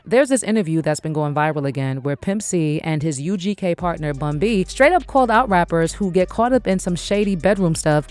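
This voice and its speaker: A woman's voice: lowish voice, darkish tone. An American woman delivering scripted commentary as the host of a video channel.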